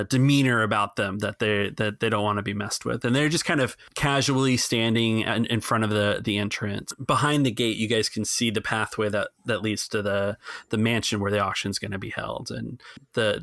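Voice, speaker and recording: A man narrating an improvised tabletop game as game master, halting and searching for words as he goes. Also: lowish voice, fairly bright tone, dry recording, studio quality.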